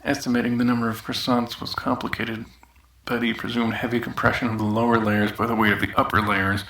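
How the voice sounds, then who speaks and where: A man's voice: medium pitch, little pitch movement, a little fast, fairly bright tone, natural voice. A man playing a grumbling demoted researcher, reading an official audio log in a dry manner with irritable asides. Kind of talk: scripted in-character document reading. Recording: noisy outdoors, later echoey bathroom.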